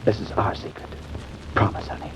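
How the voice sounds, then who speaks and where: An actor playing a troubled, tender father in a vintage radio drama, speaking in a halting, pleading way. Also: natural voice. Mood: grave, hushed. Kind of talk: 1950s radio drama acting. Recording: muffled, noisy old radio recording.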